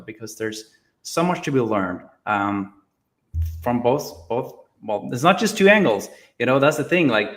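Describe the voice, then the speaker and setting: Man from Norway, average register, earnest explainer, informal talk-show guest delivery. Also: medium pitch. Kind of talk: casual panel discussion.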